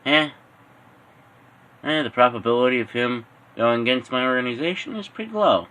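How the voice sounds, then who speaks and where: A man's voice: medium pitch, animated, normal speed, bright, forceful tone. A man voicing a scheming supervillain in an amateur fan story, muttering and deliberating in an offhand way. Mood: musing. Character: scheming adult male supervillain, gruff and musing.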